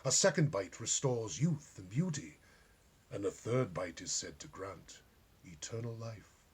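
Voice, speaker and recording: A man's voice: on the low side, animated, measured pace, a little mellow, breathy edge, voice pushed down, full character voice. A man voicing a gallant, earnest young knight of legend, solemn and courtly in a storybook reading. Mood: solemn, grand.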